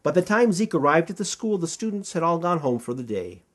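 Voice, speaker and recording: A man reading a children's storybook aloud as storyteller, with expressive, dramatic emphasis. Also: a little high, fairly quick.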